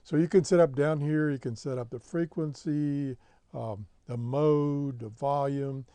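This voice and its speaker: A man's voice: on the high side, steady tone, a little slow, warm tone, clean tone, dry recording. An American man hosting a hobby show, giving a casual, plain-spoken tutorial step by step over a screen recording. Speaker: host of a shortwave radio hobby show.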